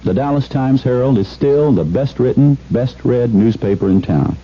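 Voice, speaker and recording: A man's voice: mid-range voice, lively intonation, moderate pace, slightly rough. An American man reading a scripted, conversational 1970s radio commercial. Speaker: commercial spokesman. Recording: dull, hissy vintage radio broadcast.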